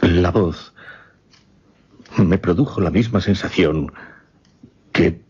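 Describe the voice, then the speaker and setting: An actor playing a shaken gentleman recounting a supernatural horror in a radio play, grave, formal and halting. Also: low voice, breathy edge, exaggerated voice. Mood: flustered, awed. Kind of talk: suspense radio theatre acting. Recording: dull, muffled radio recording.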